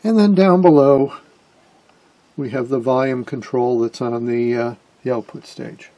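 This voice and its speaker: A man giving an informal hands-on demonstration as a hobbyist, explaining things in a hesitant way with pauses mid-phrase. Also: medium pitch, warm tone. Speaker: amateur radio hobbyist and presenter.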